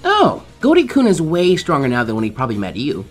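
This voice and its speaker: A man's voice: fairly high pitch, wildly swooping pitch, fairly quick, soft background music. A man voicing a confident, bossy teenage ninja girl in a teasing, emphatic, sweet tone for a fan fiction reading. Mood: nonchalant.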